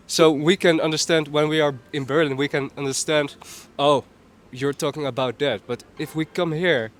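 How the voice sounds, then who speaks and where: Male interviewee, light, higher voice, halting and repetitive. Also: steady tone. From Netherlands. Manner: searches for words, repeats himself. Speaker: street interviewee. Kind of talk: casual street interview answers.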